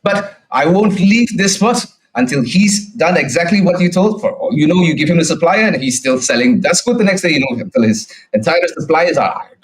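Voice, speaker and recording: A man voicing an earnest, fussy herbalist shopkeeper and zealous protester in a tabletop game, speaking in a halting, plaintive way. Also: a little high, lively intonation, pitched above the performer's own voice, close to an everyday voice, rough recording. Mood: indignant.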